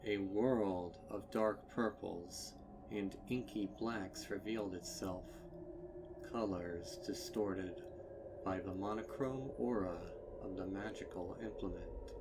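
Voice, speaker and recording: A man narrating a dark horror story in a deliberate, somber, dramatic manner. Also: sits low, distant mic, heavy background noise.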